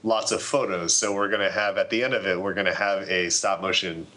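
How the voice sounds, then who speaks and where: A middle-aged man chatting casually as a podcast panelist, halting and repeating words as he explains. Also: low voice, fairly even intonation, pushed and bright.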